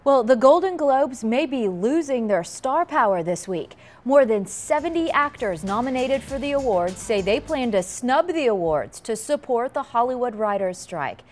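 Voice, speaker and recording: A woman's voice: a little high, animated, normal speed, few pauses, soft background music. A woman reading scripted television news as a morning anchor.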